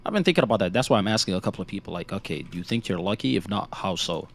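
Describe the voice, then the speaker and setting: Young dude on a call, blunt, swears a ton, teasing. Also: male voice, low voice, expressive, very fast, creaky. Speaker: interviewer on a stream call. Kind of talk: casual voice chat.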